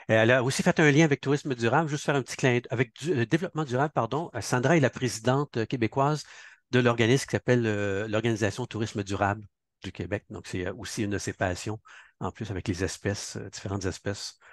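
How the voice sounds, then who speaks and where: A man's voice: medium pitch, no room sound. Guy hosting a video call event, dry close sound, chatty, lots of um. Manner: chatty, many fillers, mixes in Spanish greetings. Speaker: event host.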